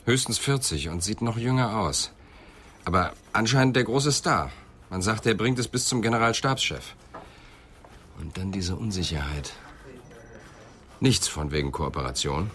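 An actor playing a serious, probing police inspector in a radio crime drama, speaking in terse questions and remarks. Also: male voice, lowish voice, expressive, normal speed, long pauses, a bit bright, slight background noise. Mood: probing, intent.

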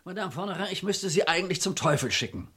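Grumbling police commissioner. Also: male voice, high-pitched, animated, fast talker, a bit bright, slightly rough, heavily acted. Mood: irritated, uneasy. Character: grumbling male police commissioner, exasperated and wary. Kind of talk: German radio crime drama acting.

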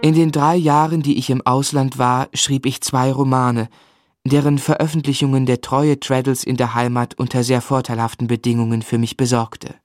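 A man acts a devoted, reflective novelist looking back in a literary radio drama. His delivery is earnest and measured, at a medium pitch. Music plays clearly beneath the voice. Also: flat delivery, darkish tone, no room sound, lightly acted. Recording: studio radio play with music underneath.

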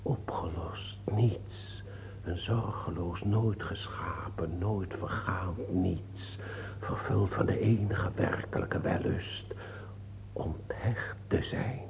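A creaky, mocking old bishop. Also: male voice, deep voice, steady tone, slow pace, whispered, exaggerated voice. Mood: rapturous, morbid. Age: older. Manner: declamatory, sardonic, sinking into breathy murmurs. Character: toothless old imprisoned bishop, mocking, melancholic, morbidly ecstatic. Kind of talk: theatrical radio play acting. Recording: dull old radio recording, hiss and hum.

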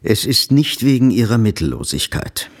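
A man in a radio drama playing a stern, proper old father who speaks formally, in a husky voice. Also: mid-range voice, very animated, normal speed.

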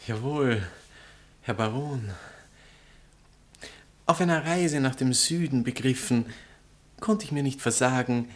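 A man's voice: medium pitch, slow pace, pauses often, natural voice. An actor playing a vain, glib male novelist in a 1960s German radio play, with a pompous, florid, theatrical delivery. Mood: pompous, provocative. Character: vain, glib male novelist, cornered lover turned defiant.

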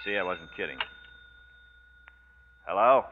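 Hard-boiled detective on tinny old radio. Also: male voice, low voice, expressive, slow pace, a little hiss or hum. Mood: wry, cool. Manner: clipped, sardonic. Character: tough, wry, hard-boiled male private detective. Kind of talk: 1940s radio noir acting. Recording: tinny 1940s radio broadcast, music.

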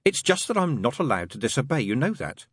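A man's voice: mid-range voice, very animated, fast, breathy edge, dry recording, clean studio sound, voice pushed up. A man voicing a prim, fussy, genteel male angel with polite, old-fashioned, faintly pompous phrasing, in an audiobook story. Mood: reproving.

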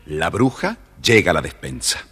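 A man's voice: sits low, huge pitch swings, moderate pace, sharp tone, breathy edge, big-room sound, soft background music. A man narrating a children's story in a dramatic, confiding and theatrical way.

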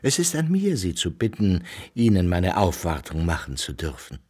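An actor playing a self-assured, amorous middle-aged baron with courtly manners in a German radio drama. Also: male voice, low-pitched, huge pitch swings, moderate pace, a little mellow, husky, heavily acted. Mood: flirtatious.

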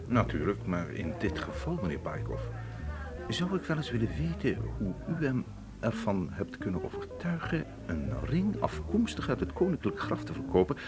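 Radio drama: courteous inspector, swinging intonation, deliberate formal questioning, music underneath. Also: male voice, lowish voice, sing-song. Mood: politely probing. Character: courteous, probing police inspector. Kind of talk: Dutch radio play acting.

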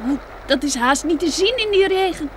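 A woman's voice: extremely high pitch, moderate pace. A woman playing an eager, anxious young girl with a childlike, hesitant delivery in a radio play. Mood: curious, anxious. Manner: hesitant, stammering when excited. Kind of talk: Dutch radio play acting. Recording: noisy old radio drama recording.

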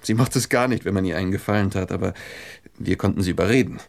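Man, shy heartbroken gentleman, slightly low, creaky, polite, halting, restrained, German radio play. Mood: wistful. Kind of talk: German radio drama acting.

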